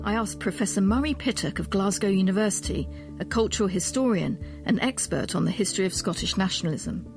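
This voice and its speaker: A woman with a mid-pitched voice presents a history documentary. She narrates and questions guests as an academic host, with faint music in the production. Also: lively intonation, a little fast, vocal fry. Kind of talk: scripted narration and interviewing.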